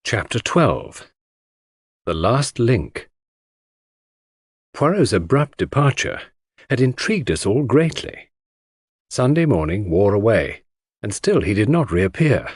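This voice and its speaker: A male audiobook narrator reads a mystery novel dramatically. His pitch rises and falls widely, and his voice has a husky grain. The sound is dry and close. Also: sing-song, slow pace, long pauses, no room sound.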